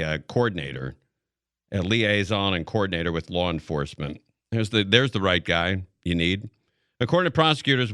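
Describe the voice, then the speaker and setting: An American man hosting live radio talk, commenting casually on the news in a rambling, halting way, as if thinking aloud. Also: lowish voice, a bit bright, smooth, no room sound, clean studio sound. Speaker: radio talk show host. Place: radio studio. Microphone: close broadcast studio mic.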